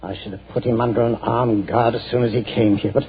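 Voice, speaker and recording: An actor playing a stern, proud middle-aged general in a 1940s radio drama, earnest and prone to stammering. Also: male voice, medium pitch, fairly even intonation, fast, breathy edge. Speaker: army general. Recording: lo-fi old radio broadcast.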